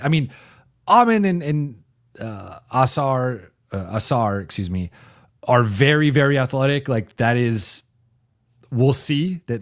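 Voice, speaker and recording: A man hosting a casual basketball livestream, talking loosely with many restarts and reading viewer comments, his voice going a bit raspy as he talks. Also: medium pitch. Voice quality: raspy when talking for a while. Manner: loose and conversational, frequent restarts, reads viewer comments aloud. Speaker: sports reporter and channel host. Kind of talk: casual live-chat basketball analysis. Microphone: home streaming mic.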